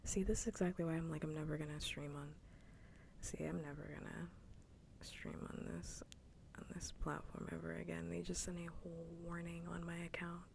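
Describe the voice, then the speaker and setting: A woman ASMR streamer chatting casually in a soft, breathy whisper close to the microphone, repeating herself as she goes. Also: on the low side, little pitch movement, a little fast, slight background noise. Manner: casual, repetitive, mildly swearing. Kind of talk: whispered ASMR livestream chat.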